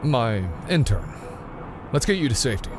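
An actor playing a terse, stern masked vigilante in an audio drama. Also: male voice, mid-range voice, big pitch swings, normal speed, music in the background, natural voice.

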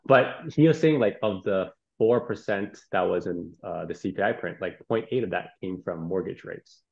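A man's voice: sits low, normal speed, gravelly. A man in his thirties chatting casually as a real estate panelist over a video call. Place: indoors, on a remote video call. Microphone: video call, earbuds in view. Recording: dull, lo-fi video call audio.

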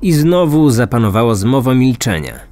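Male true-crime narrator, mid-pitched. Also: very animated, normal speed, a little mellow, soft background music. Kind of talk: scripted storytelling narration.

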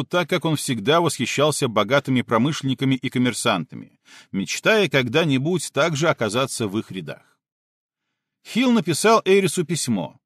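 A man reading an audiobook as a scripted narration, measured and clearly articulated. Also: mid-range voice, no room sound, clean studio sound.

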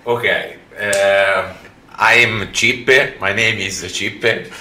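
Deep slow Italian-accented young man. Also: steady tone, pushed and bright. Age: young adult. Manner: halting broken English, many fillers, jokey. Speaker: speed date contestant. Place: indoor room. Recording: echoey room, faint hiss.

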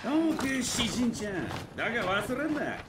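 An actor playing a cocky, chatty demon bird sidekick in a video game, with brash, teasing, slangy talk. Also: male voice, extremely high pitch, very animated, moderate pace, reverberant, recorded from a distance, noisy background. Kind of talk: dubbed video game cutscene acting.

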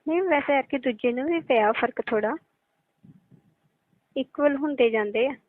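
A woman with a Punjabi accent talks in a high voice, chatting casually and privately. The sound is a narrow, tinny telephone call, close and dry with no room around it. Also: moderate pace, no room sound. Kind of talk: intimate casual phone chat.

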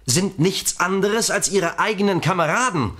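A man's voice: sits high, animated, normal speed, fairly bright tone. A man playing a brave, determined young hero in a children's science-fiction audio drama, urgent and decisive. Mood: grave.

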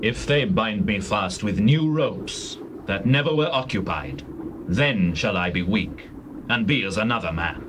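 Doomed Hebrew strongman, low voice, solemn, theatrical, Bible audio drama, noisy old cassette. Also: animated, measured pace. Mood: teasing confidence. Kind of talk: dramatized King James Bible reading.

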